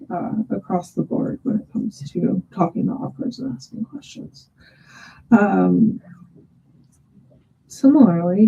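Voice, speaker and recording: A very dark, gravelly woman's voice moderates a webinar over dull, muffled audio. She is the host, passing on audience questions in hesitant phrases. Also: mid-range voice, slight background noise.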